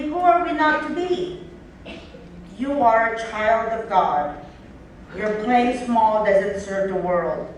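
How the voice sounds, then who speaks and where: A woman giving a prepared graduation speech and reading a poem, earnest and measured. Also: mid-range voice, slight background noise. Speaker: graduating student speaker. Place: large theater. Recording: echoey theater from far off.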